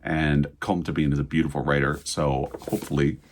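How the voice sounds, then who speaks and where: A man's voice: a bass voice, flat delivery, fairly quick, slight background noise. A man hosting a casual book vlog, talking conversationally with digressions and self-corrections. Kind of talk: casual book chat to camera.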